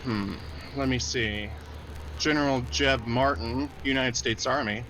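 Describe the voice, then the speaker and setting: An actor playing a grim government intelligence officer, stern and deliberate, in old-time radio drama style. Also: male voice, medium pitch, fairly even intonation, noisy background, close to an everyday voice. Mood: businesslike.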